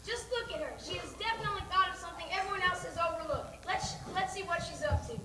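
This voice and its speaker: A theatrical fairy-tale storyteller acted by a woman in an amateur play. The voice is very high and comes from far off in an echoey room. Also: fast talker, sharp tone. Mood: storytelling. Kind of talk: amateur stage play acting. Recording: distant, echoey, noisy home-video stage recording.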